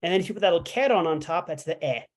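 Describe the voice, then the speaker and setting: Easygoing teacher talking through a lesson, mid pitch, loose and chatty. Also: lively intonation, rapid-fire. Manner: chatty, explains with fillers and playful asides. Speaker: language instructor. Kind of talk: casual online language lesson.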